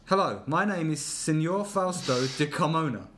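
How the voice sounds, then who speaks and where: A man's voice: fairly high pitch, expressive, normal speed, fairly bright tone, slight background noise. A man co-hosting a podcast in casual comedic chat, reading out emails with amused, mocking asides. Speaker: podcast co-host.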